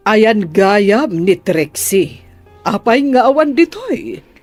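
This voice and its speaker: A woman's voice: mid-range voice, sing-song, slow, a little mellow, no echo, faint music behind, exaggerated voice. A woman playing a bossy, inquisitive lady of the house in an Ilocano radio drama. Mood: probing, suspicious.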